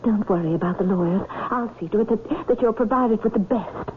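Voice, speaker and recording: A woman's voice: mid-range voice, fairly quick, close to an everyday voice. A woman playing a possessive, scheming aristocratic widow in a vintage radio drama, insistent and imperious, with melodramatic delivery. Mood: coaxing, possessive. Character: possessive, scheming aristocratic widow, turning vindictive when spurned. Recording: tinny, hissy old radio drama recording.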